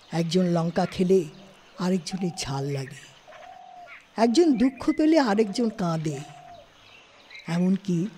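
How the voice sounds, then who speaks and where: Expressive woman narrating a literary story. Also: mid-range voice. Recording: studio, faint background noise.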